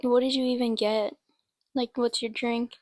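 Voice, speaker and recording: A woman's voice: a little high, little pitch movement, normal speed, a little mellow, dry recording, studio quality. A woman co-hosting a casual podcast, laid-back and teasing in her chat.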